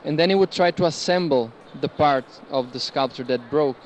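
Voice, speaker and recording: A man's voice: on the high side, moderate pace. A man working as a curator, talking informally as he guides visitors around works at an art fair. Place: large indoor art fair among gallery stands. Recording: noisy fair hall ambience behind voice. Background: busy fair hall background noise.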